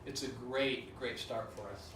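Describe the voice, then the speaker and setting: A man's voice: medium pitch, little pitch movement, unhurried. A man giving a corporate training talk about a market, hesitant with many ums and restarts. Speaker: company presenter on markets. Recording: echoey room, mic at a distance, clear noise.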